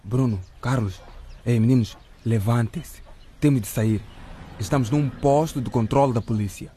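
An actor playing a friendly, crafty truck driver in a radio drama, speaking politely and placatingly. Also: male voice, mid-range voice, moderate pace, warm tone, slight background noise, close to an everyday voice. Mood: polite, nervous.

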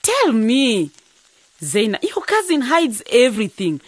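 A woman's voice: fairly high pitch, huge pitch swings, measured pace, no room sound, heavily acted. An actress playing a nosy, teasing middle-aged mother in a radio drama, with a prying, dramatic manner.

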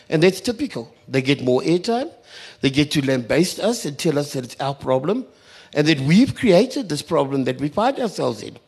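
A man's voice: mid-range voice, gravelly. A South African man giving an impassioned panel speech, indignant and sarcastic, hammering phrases home by repeating them. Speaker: community radio sector representative on a panel.

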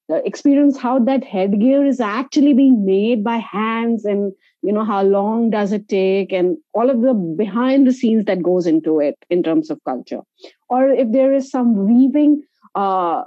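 A woman with an Indian accent giving explanatory, halting conversational answers as an interview guest. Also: mid-range voice, animated, dry recording, highs cut off. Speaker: interview guest, inclusive travel organiser.

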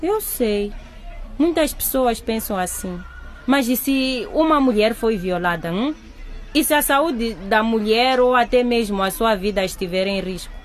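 A woman's voice: fairly high pitch, lively intonation, a little slow. A woman playing a middle-aged grieving mother in a radio drama, frank, emphatic and earnest. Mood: sorrowful, frank. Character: grieving mother, blunt, guilt-ridden, advising a younger woman.

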